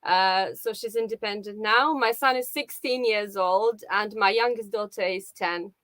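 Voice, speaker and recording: A woman's voice: mid-range voice, a little slow, fairly bright tone, no room sound. A woman in her forties with a Russian accent, a guest on a live video call, talking casually and hesitantly with many fillers.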